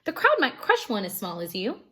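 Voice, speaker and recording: A woman voicing a worried gentleman master who gives grave warnings in a children's storybook. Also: medium pitch, wildly swooping pitch, fairly quick, slightly rough, heavily acted. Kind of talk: children's storybook read-aloud.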